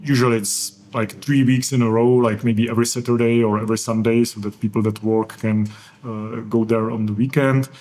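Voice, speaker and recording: A man's voice: medium pitch, steady tone, brisk, darkish tone. Earnest Czech-accented man, many hesitations. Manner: earnest and enthusiastic, rambling with frequent hesitations. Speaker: guest, education program organizer. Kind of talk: casual podcast interview. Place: conference venue.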